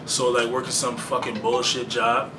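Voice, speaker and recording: A laid-back man who talks in loose, profane slang. He sounds set back from the microphone in an echoey room. A loud steady hum runs under his voice. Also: medium pitch, moderate pace. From Canada. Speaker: rapper guest. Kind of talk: casual interview talk. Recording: roomy, distant mic with loud background hum.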